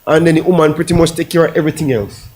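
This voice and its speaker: A man, explaining his view in a measured way during a casual group debate. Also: on the high side, steady tone, a little mellow. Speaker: panel guest.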